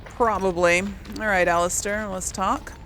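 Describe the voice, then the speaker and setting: A woman's voice: medium pitch, steady tone, unhurried, a bit bright, a little hiss or hum. A woman hosting a casual game role play, musing and thinking aloud. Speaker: gamer, series host.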